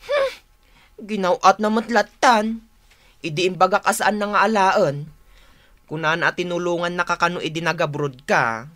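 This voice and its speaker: Woman playing fed up younger sibling in ilocano radio drama, ilocano accent, bright tense voice. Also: lowish voice, normal speed, pauses often, lightly acted. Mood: distressed. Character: younger sibling, exasperated housekeeper of a rural household.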